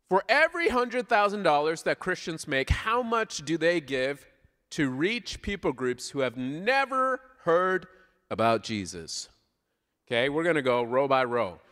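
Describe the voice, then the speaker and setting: Animated Canadian man preaching in an echoing hall. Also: fairly high pitch, measured pace. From Southern Ontario, Canada. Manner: playful, conversational, asks the audience questions. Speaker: guest preacher. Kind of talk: informal sermon with audience interaction. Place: large church hall, speaking to a congregation. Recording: live hall with long reverb.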